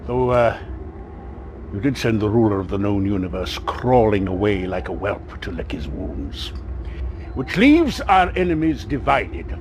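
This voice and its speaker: An actor playing a grave military commander in a video game cutscene, with a solemn, theatrical delivery. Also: male voice, fairly low pitch, big pitch swings, measured pace, a little hiss or hum. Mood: grim resolve. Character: grave military mentor and commander of House Atreides.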